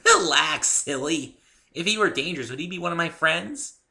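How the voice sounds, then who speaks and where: Guy doing a hyper girly cartoon pony, high and bright, super perky and bouncy. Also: animated, moderate pace, vocal fry, heavily acted. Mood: playful. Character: bubbly, excitable young female cartoon pony.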